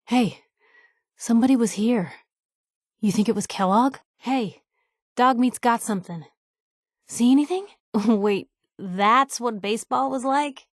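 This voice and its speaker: A young woman with an American accent playing a plucky, wisecracking reporter companion in a video game, with a wry, expressive delivery. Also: on the high side, measured pace, long pauses, dry recording, clean studio sound. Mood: curious, wry. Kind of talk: video game voice acting, in-character banter.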